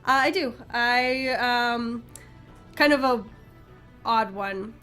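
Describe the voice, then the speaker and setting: A woman with a fairly high voice chats casually as a co-host on a panel. Her delivery is informal and conversational. Soft music sits faintly behind her. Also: slow pace. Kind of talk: casual chat.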